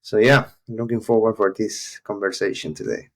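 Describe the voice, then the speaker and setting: A man's voice: fairly low pitch, moderate pace. A Venezuelan man with a Spanish accent, a journalist guest talking casually on a video call, friendly and peppered with "you know". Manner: friendly, speaks steadily with "you know" fillers. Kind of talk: casual interview.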